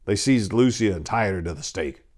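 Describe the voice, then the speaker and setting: A man's voice: fairly low pitch, animated, normal speed, a little mellow. A man giving a dramatic, grave reading of a scripted fantasy story, with a theatrical storyteller's delivery.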